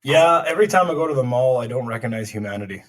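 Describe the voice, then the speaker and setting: A man's voice: mid-range voice, animated, quick pace. A man hosting a casual podcast chat among friends, rambling, cursing freely and trailing off mid-thought. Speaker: podcast host.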